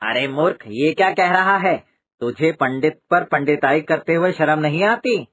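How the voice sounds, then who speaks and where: Cartoon role: man as excitable temple priest, fairly high voice, superstitious, blustering, scolding. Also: unhurried. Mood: indignant. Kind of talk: Hindi cartoon moral story dub.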